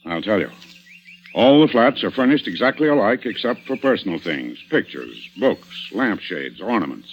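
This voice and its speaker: A man playing a tough, sardonic police inspector questioning suspects in brisk old-time radio mystery style. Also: on the low side, very animated. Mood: explaining, commanding. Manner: brisk, hard-boiled, interrogating. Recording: dull, noisy old radio recording.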